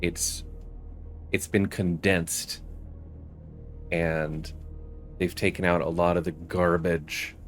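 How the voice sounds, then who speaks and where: A man's voice: deep voice, flat delivery, slow pace, pauses often, soft background music. A man giving casual, explanatory commentary as the host of a gaming video. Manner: explanatory, reads quest text aloud.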